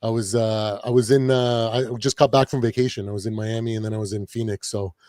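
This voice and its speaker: Hoarse man in a rambling chat. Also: on the low side, fairly even intonation, brisk, darkish tone, no room sound, studio quality. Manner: rambling, lots of fillers and self-corrections. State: raspy voice from partying. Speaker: guest, fan channel creator. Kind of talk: casual podcast chat.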